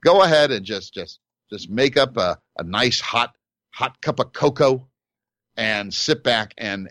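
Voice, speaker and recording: A man's voice: on the low side, a bit bright, no echo. A man co-hosting a casual geek podcast, rambling with many restarts and slipping into playful impressions. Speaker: podcast co-host. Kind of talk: casual chat.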